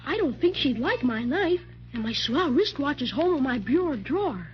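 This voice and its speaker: A woman's voice: high-pitched, very animated, moderate pace. A woman playing an eager, gee-whiz little boy in a 1930s radio drama. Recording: muffled, faintly noisy 1930s radio recording.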